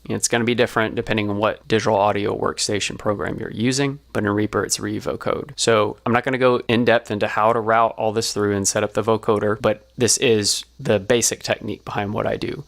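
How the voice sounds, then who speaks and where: A man's voice: on the low side, fairly even intonation, brisk, vocal fry, few pauses, a bit bright. A man giving an explanatory tutorial as a sound designer, talking through it step by step.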